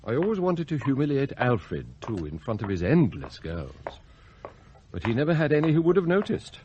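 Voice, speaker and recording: An actor playing an outwardly loyal but bitter, plotting butler in a radio drama, speaking in formal, precise words. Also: male voice, medium pitch, wildly swooping pitch, warm tone, dull audio, a little hiss or hum. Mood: cold, bitter. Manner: formal, precise, measured wording. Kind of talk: radio drama acting with confiding narration.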